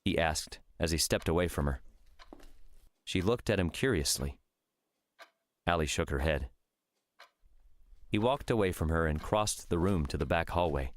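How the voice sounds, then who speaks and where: A man's voice: low voice, expressive, moderate pace, long pauses. A man narrating a dramatic fiction audiobook from a script.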